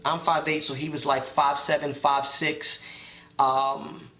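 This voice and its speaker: A young man telling a personal story in a soft, reflective way, with many pauses and fillers. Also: fairly high pitch, little pitch movement, echoey room.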